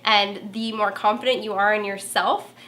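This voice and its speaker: A woman's voice: mid-range voice, fairly even intonation, normal speed, a bit bright, a little hiss or hum. A young woman with a nasal voice and a slight valley-girl lilt, co-hosting a casual talk giving tips on speaking to a camera. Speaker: co-host, former TV reporter.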